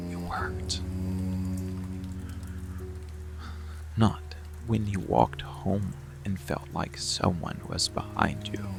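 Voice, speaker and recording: Casting: male actor for monster boyfriend ASMR, dark, possessive, coaxing; deep fry-laden murmur and whispers, music and rain underneath. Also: full character voice. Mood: dark, protective. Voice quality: gravelly, breathy, often dropping to whisper. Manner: slow, deliberate, coaxing, with long pauses. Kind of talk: intimate roleplay ASMR, slow monologue.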